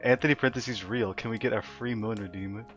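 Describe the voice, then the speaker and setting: A man's voice: on the low side, steady tone, fairly quick, fairly bright tone. Giggly young male streamer, chatting loosely. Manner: giggly, laughs often and rambles with fillers. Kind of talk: casual livestream chat reading chat aloud. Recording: slightly dull lo-fi stream audio with faint music. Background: faint background music.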